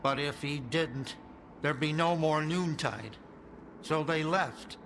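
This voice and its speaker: An actor playing an anxious scientist who explains grave matters earnestly in a video game. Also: male voice, fairly high pitch, takes it slowly, a bit bright, slight background noise. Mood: grave, uneasy. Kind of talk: video game cutscene acting.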